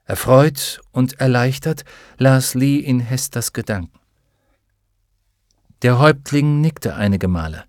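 A man reading an adventure audiobook aloud in a steady, even storytelling manner. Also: mid-range voice, slow pace, long pauses, no echo. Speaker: audiobook narrator. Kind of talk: scripted narration. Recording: dry close studio sound.

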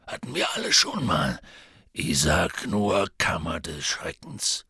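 A man voicing a huge, gruff, anxious half-giant groundskeeper in an audiobook, rambling nervously and clipping his words. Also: sits low, big pitch swings, takes it slowly, bright, forceful tone, no room sound, clean studio sound, full character voice. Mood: worried. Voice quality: gravelly, husky. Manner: rambling, nervous, drops word endings. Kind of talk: audiobook character voice.

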